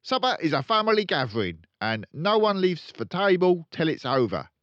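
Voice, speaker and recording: A man voicing a crooked, boastful, bullying middle-aged father in a children's storybook, loud and emphatic. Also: a little high, very animated, moderate pace, no room sound, muffled recording, pitched above the performer's own voice. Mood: angry, bullying. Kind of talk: children's storybook read aloud.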